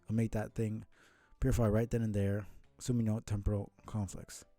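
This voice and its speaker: A man streaming a casual read-aloud of a comic, with halting, muttered asides. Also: lowish voice, little pitch movement, mellow, dry recording, soft background music. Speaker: streamer reading a webcomic aloud.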